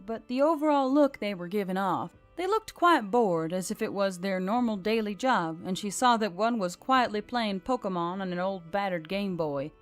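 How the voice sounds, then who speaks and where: Woman narrating a story over music. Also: mid-range voice. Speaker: audiobook narrator. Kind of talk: scripted fantasy story narration. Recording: background music under the reading.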